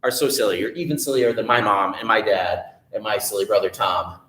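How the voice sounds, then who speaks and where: A man's voice: lowish voice, a little fast, fairly bright tone, reverberant, voice pushed down, natural voice. A man voicing Jack, a young boy hero who talks plainly and sounds exasperated.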